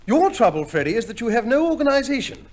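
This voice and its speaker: An actor playing a smug, pompous army major and husband in a 1950s radio comedy, with a theatrical manner. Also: male voice, very high-pitched, big pitch swings, quick pace. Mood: smug, bickering.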